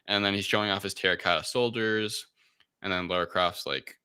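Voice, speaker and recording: Deep-voiced man, very bright and forceful. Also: steady tone, a little fast, smooth. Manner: rambling, offhand, irreverent. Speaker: podcast co-host recapping a film. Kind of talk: casual film recap chat.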